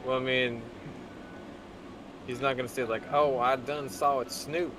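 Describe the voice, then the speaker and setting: Mid-pitched man, clearly noisy mic. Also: measured pace. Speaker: guest co-commentator. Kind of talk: casual chat. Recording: noisy mic with steady background hum.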